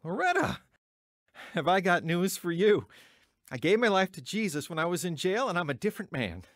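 Casting: earnest born-again ex-convict, highish voice, very expressive radio drama delivery. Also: male voice, moderate pace, dry recording, clean studio sound. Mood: excited, insistent. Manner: earnest, emotional. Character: earnest, wavering male ex-convict, newly religious. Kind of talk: audio drama acting and first-person narration.

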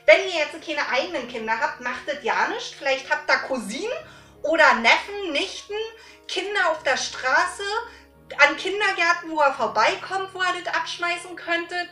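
A middle-aged woman, chatting informally to viewers in an animated way with frequent tag questions. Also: very high voice, moderate pace. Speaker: host of a book giveaway vlog. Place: small indoor room lined with books. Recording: roomy echo, faint music.

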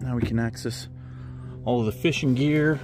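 A man chatting casually outdoors, relaxed and matter-of-fact, explaining things as he goes. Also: medium pitch, moderate pace, very noisy. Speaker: vlogger. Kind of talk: casual chat. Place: outdoor parking lot by a vintage car.

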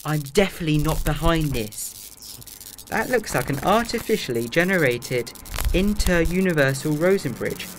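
A man's voice: on the high side, expressive, normal speed, background noise, close to an everyday voice. A man playing a serious, hesitant time traveller in a full-cast audio drama. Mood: grave.

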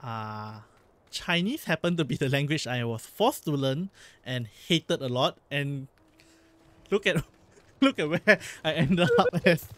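A man from Singapore with a Singaporean English accent, a vlog host chatting casually and explaining in quick bursts that often stop and restart. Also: on the high side, animated, a bit bright, dry recording. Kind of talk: casual chat while streaming.

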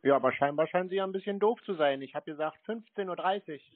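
A man's voice: on the high side, fast talker, dry recording. A man speaking bluntly and curtly on a phone call. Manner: blunt, curt. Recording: dry narrow phone line.

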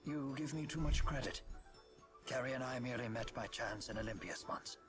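Man voicing a dignified, courteous elderly gentleman. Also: medium pitch, over music, natural voice. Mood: earnest. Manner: formal, measured, gracious. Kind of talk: scripted game cutscene acting.

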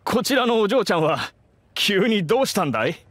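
A man's voice: sits high, very animated, a little fast, a bit bright, a little hiss or hum. An actor playing a two-faced young male bandit who poses as a merchant in an anime game, with a slick, theatrical manner. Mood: indignant. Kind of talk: anime game character acting.

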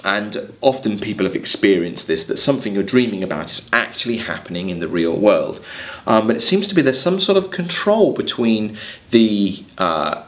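A man's voice: on the low side, big pitch swings, normal speed. A man in his thirties with an English accent, lecturing on literature, reading verse aloud and explaining it in hesitant, exploratory phrases. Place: small plain room, seated at a desk.

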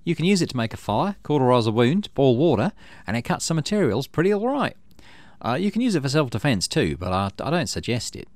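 A man's voice: medium pitch, sing-song, brisk, no echo, pitched above the performer's own voice, close to an everyday voice. A folksy woman mentor from a sci-fi game, played by a man, with a raised, swooping voice. She is breezy and informal, yet protective of the youngsters in her charge. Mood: instructive. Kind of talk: acted visual novel dialogue.

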